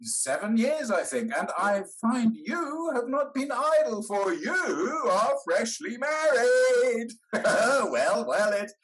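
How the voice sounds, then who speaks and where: A man acting a blunt, hearty old English gentleman in a period stage comedy, emphatic and wry. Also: very high voice, big pitch swings, takes it slowly, full character voice, poor audio. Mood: teasing, hearty. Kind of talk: theatrical period comedy acting over video call. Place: indoors, on a video call.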